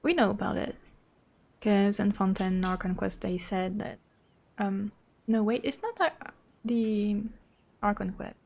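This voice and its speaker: A woman's voice: medium pitch, unhurried, creaky, pauses often, darkish tone, slight background noise. A woman livestreamer giving casual commentary on a game, rambling informally as she thinks aloud. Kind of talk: casual commentary over gameplay.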